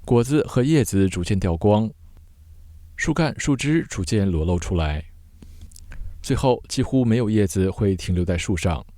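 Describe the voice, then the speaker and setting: A man teaching vocabulary word by word in measured, short separated phrases, as a scripted lesson. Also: fairly low pitch, animated, mellow, no room sound, studio quality.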